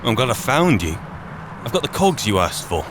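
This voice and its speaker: A man's voice: mid-range voice, very animated, moderate pace, background noise, close to an everyday voice. A man roleplaying a wry, boastful archmage adventurer, muttering sardonic asides in colloquial speech as he plays. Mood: encouraging. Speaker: roleplaying gamer narrating in character as an adventurer mage. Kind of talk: in-character game roleplay commentary.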